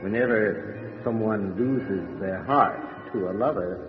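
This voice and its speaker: Slow, echoing ghoulish horror host. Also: male voice, on the low side, faint music behind. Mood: macabre glee. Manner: theatrical, punning, cackling. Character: ghoulish undead male horror host, gleefully macabre. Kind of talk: old-time radio horror introduction. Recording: dull radio sound with long reverb.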